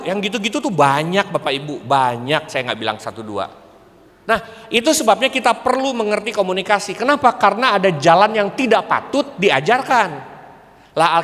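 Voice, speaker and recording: Male seminar speaker, West Javanese Indonesian accent, fairly high voice, conversational and didactic, heard in a reverberant hall. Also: a bit bright. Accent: Indonesian with West Javanese (Sundanese) colouring. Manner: conversational, didactic, checking in with listeners. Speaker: speaker at a church parenting seminar, school principal. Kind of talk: public seminar talk with preaching. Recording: echoey hall with long reverb.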